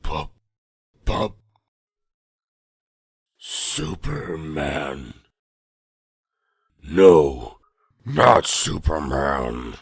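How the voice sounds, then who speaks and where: An actor playing a big, childlike, simple-minded monster clone of a superhero, speaking slowly in broken grammar for a motion comic. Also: male voice, very deep voice, big pitch swings, creaky, husky, no echo, clean studio sound, full character voice. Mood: confused. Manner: slow broken grammar, few words.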